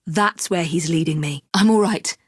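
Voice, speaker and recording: An actress playing a poised, determined young Victorian woman assassin in a video game, with an English accent and crisp, formal diction. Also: lowish voice, moderate pace, dry recording, studio quality. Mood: composed, purposeful. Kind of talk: scripted video game voice lines.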